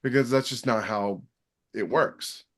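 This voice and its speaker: A man's voice: medium pitch, a little slow, a little hiss or hum. Man hosting a casual, emphatic podcast discussion. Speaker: podcast host.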